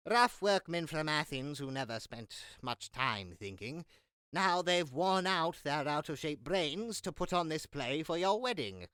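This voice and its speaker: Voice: medium pitch, sing-song, a little slow, fairly bright tone, clear, resonant tone, no room sound. A performer playing a fussy, scornful master of revels to a duke in a Shakespeare audio drama, with a theatrical, disdainful manner. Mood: disdainful, dissuading.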